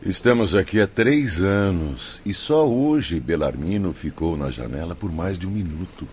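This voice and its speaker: An actor playing a wry, teasing patient in a Brazilian Portuguese radio drama, with a jocular manner. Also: male voice, deep voice, animated, unhurried, darkish tone, a little hiss or hum. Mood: observant.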